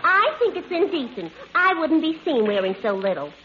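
A woman's voice: high-pitched, big pitch swings, moderate pace. An actress playing a vain, prudish, gullible young woman in 1940s radio comedy. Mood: prim, indignant. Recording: muffled old radio recording, faint hiss.

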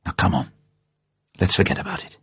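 A man voicing a wry, wounded man in an audiobook, gruff yet sentimental, with clipped, restrained delivery. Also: extremely low pitch, moderate pace, dry recording, highs cut off, exaggerated voice. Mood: tender, apologetic.

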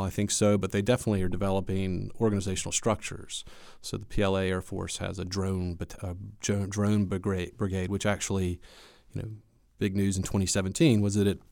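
A man speaking as an expert guest in an interview, explaining in a measured, conversational way. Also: deep voice, darkish tone, no echo, clean studio sound.

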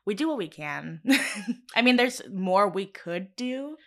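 A woman's voice: mid-range voice, expressive, slow pace, fairly bright tone. A young woman co-hosting a casual podcast, chatty and upbeat and laughing often. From Southern California. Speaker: podcast co-host. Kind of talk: casual chat.

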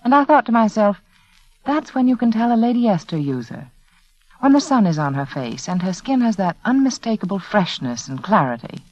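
A woman's voice: medium pitch, sing-song, a little fast, a little mellow. A woman hostess delivering a scripted 1940s radio commercial in a polished, persuasive way, on a muffled old recording. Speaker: radio show hostess and sponsor spokeswoman.

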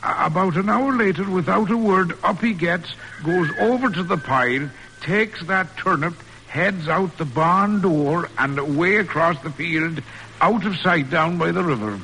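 An actor playing a good-natured farmhand in a rustic, colloquial 1940s radio drama style. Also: male voice, sits high, measured pace, bright, forceful tone, heavily acted. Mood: folksy, puzzled. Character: good-natured folksy male farmhand, loyal and plainspoken. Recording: noisy old radio broadcast recording.

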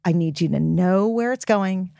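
A woman's voice: on the low side, normal speed, gravelly, mellow, dry recording, clean studio sound. A woman speaking as an expert podcast guest, giving frank, informal and emphatic advice to young listeners. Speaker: guest author and health expert.

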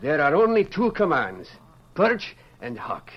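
A fatherly old scottish falconer, hearty burr, animated, muffled vintage radio drama. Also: a little high, a little slow, breathy edge, exaggerated voice. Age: older. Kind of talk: 1940s radio acting. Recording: dull old radio recording with faint hiss.

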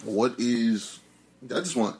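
A young man chatting casually in a relaxed, teasing way. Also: mid-range voice, slow pace. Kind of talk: casual chat.

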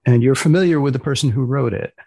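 American male podcast host, husky creaky mid-range voice, measured interviewer delivery. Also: mid-range voice, dark tone. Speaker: podcast host, magazine editor.

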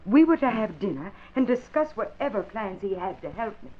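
A woman's voice: mid-range voice, sing-song, a little fast. A woman playing an affected, evasive young aspiring actress in an old-time radio drama, with theatrical delivery. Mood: affected concern. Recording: dull vintage radio recording.

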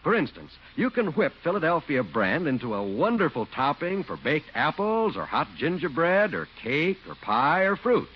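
A man's voice: a little high, wildly swooping pitch, normal speed, hardly any pauses, a little hiss or hum. An American man reading as an old-time radio announcer, with a polished, emphatic sales delivery. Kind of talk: 1940s-50s radio commercial narration. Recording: dull old radio recording with music.

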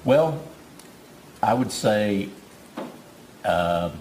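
Deep-voiced male expert witness. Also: animated, darkish tone, a little hiss or hum, low-quality recording. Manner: measured, hesitant, many fillers. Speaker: forensic psychologist witness. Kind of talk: courtroom testimony. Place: courtroom.

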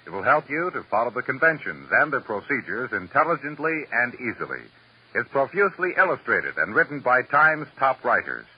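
Lively old-time male radio announcer. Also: mid-range voice, husky. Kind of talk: scripted 1950s radio announcing. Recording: muffled, hissy old radio recording.

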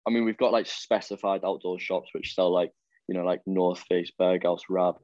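A man's voice: deep voice, steady tone, moderate pace, a little mellow, no room sound. A young man, chatting as a podcast guest and rambling through plenty of fillers and restarts. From the Wirral, England. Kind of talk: casual chat. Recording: dull lo-fi, dry.

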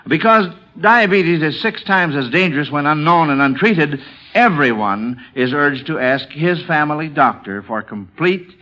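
Bright, high-voiced American man hosting vintage radio. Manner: folksy exclamations, addresses the children directly. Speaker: quiz show host. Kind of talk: 1940s radio quiz show hosting. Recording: dull lo-fi vintage radio broadcast.

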